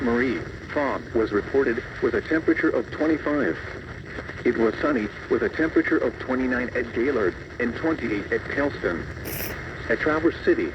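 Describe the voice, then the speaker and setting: A man's voice: mid-range voice, far from the mic. A synthetic male announcer voice reading a scripted weather bulletin in an impersonal way, in short clipped phrases. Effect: synthetic text-to-speech voice. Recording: muffled, noisy radio reception.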